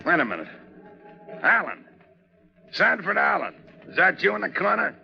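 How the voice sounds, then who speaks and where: Hard-bitten sailor: gravelly, blunt, slangy, hot-tempered cynic, muffled vintage radio drama. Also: male voice, high-pitched, big pitch swings, normal speed, soft background music, heavily acted. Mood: wry, resigned. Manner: blunt, slangy, tough-guy. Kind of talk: 1940s radio drama acting. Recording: muffled 1940s radio recording.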